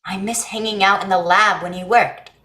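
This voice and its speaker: A woman's voice: medium pitch, steady tone, moderate pace, pushed and bright, lightly acted. A woman playing an earnest, literal-minded schoolchild in a staged play, speaking plainly and inquisitively. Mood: subdued, wondering. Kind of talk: staged reading of a science fiction play.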